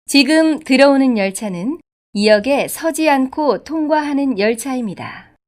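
A woman reading scripted subway station announcements in a formal, polite, measured way. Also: high-pitched, animated. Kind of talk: scripted public transit announcement.

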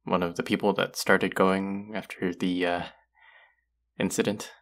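Casting: male voice actor as a playful young barista, low gravelly voice, lively teasing delivery. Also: unhurried. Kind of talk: audio roleplay for a listener.

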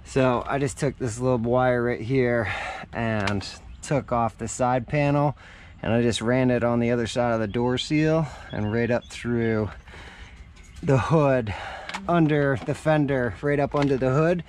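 A man's voice: medium pitch, a little slow, a little mellow. A man giving a casual, folksy how-to narration while he works hands-on, thinking aloud in informal language. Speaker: vlogger showing a DIY truck install. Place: outdoors in and around a parked truck in a driveway. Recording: clear background noise, vehicle ambience.

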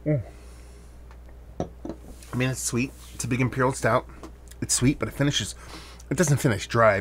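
A man hosting a casual beer review, talking in a relaxed, rambling way with plenty of fillers. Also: lowish voice, slight background noise.

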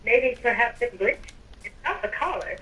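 A woman's voice: a little high, expressive, moderate pace, a bit bright, a little hiss or hum, poor audio. A woman improvising in-character roleplay during a video game, with theatrical, stiffly formal phrasing. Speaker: Minecraft roleplay player.

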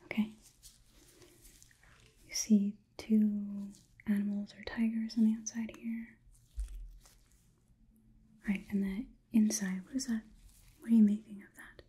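A young woman whispering an ASMR roleplay close to the mic as a coolly logical robot overlord, in a halting, clinical, deliberate way. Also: medium pitch, little pitch movement, warm tone. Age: young adult. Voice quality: breathy, unvoiced whisper. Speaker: ASMR performer. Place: small indoor room. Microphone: close microphone.

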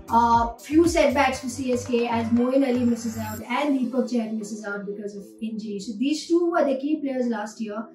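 High-voiced young Indian woman, roomy sound. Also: darkish tone. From Karnataka, India. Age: young adult. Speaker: cricket reviewer and state player. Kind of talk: match preview commentary to camera. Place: small indoor room at home. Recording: roomy echo, distant mic, faint music.

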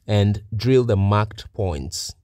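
A man's voice: on the low side, extremely slow, a little mellow, no echo, studio quality. A man giving methodical, plain-spoken step-by-step narration as a technician presenting a practical tutorial.

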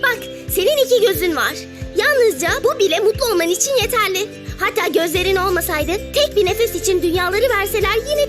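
A performer voicing a cheerful, wise little cartoon ladybug who teaches patiently with coaxing questions. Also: very high voice. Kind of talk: children's cartoon dialogue.

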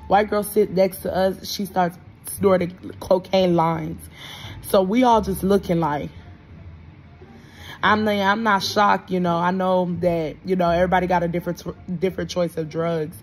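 A woman's voice: on the low side, fairly even intonation, normal speed, soft background music. A woman, telling a slangy, gossipy story casually to a phone held close in a hotel room. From Houston, United States. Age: 20s. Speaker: vlogger. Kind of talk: casual storytime chat to camera. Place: bedroom-sized hotel room, indoors.

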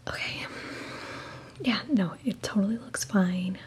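A woman's voice: medium pitch, measured pace, slight background noise. A young woman whispering close to the microphone in a casual, reassuring ASMR roleplay as a hairdresser. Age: young adult. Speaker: ASMR creator playing a hairdresser. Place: small room indoors at home. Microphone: close desktop condenser microphone.

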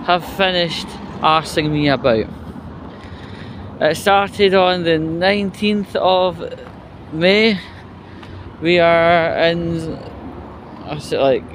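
A man talks slowly in a fairly high voice, rambling and grumbling wearily with the odd swear word. He is recording a casual vlog on his phone while walking, with faint street and traffic noise around him. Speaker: vlogger. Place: walking outdoors along a residential street. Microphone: handheld phone. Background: street ambience, passing vehicles.

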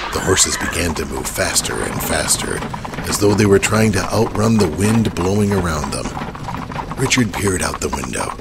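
A man's voice: low-pitched, heavy background noise. A man narrating a horror audio drama in a dramatic, scripted storytelling style. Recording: clear horse and carriage ambience behind.

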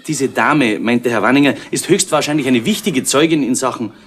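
A man's voice: medium pitch, brisk, natural voice. Guy doing a timid polite cop assistant, faint hiss, old radio play. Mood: deferential. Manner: polite, hesitant. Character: Fröschel, deferential male police assistant. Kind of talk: 1970s German radio crime play.

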